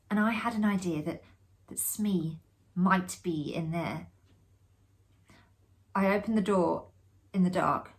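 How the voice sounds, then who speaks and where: A woman's voice: lowish voice, sharp tone. Woman reading as a shaken, stammering young Englishman. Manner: halting, stammering, repeating words. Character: shaken, sheepish young man confiding an eerie scare. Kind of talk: performed reading of a ghost story.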